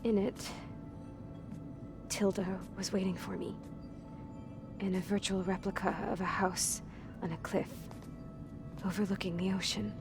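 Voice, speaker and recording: A woman voicing a guarded, hurt young woman in a video game, speaking in hushed, halting phrases that turn defensive and raw. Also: medium pitch, flat delivery, lightly acted. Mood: wistful. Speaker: game character. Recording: game cutscene with music behind.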